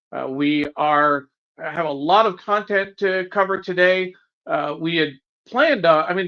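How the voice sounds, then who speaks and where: A man's voice: fairly high pitch, sharp tone, lo-fi sound. A man co-hosting a live online show as its producer, welcoming viewers and reading out greetings. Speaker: executive producer and co-host.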